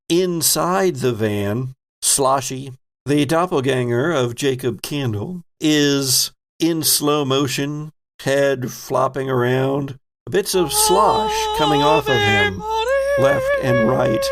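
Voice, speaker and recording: A man with a creaky, gravelly voice narrates as a horror game master. He takes his time, stretching phrases with theatrical, playful emphasis. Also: mid-range voice. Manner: dramatic, deliberate, playful emphasis. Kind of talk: theatrical narration for an actual-play horror podcast.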